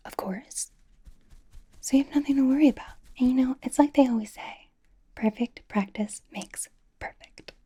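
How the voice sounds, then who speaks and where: A woman's voice: medium pitch, warm tone, slightly rough. A woman playing a bubbly, encouraging young K-pop idol in an ASMR personal-attention roleplay, whispering breathily in a rambling, giggly, reassuring way. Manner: rambling, giggly, reassuring, casual youthful phrasing.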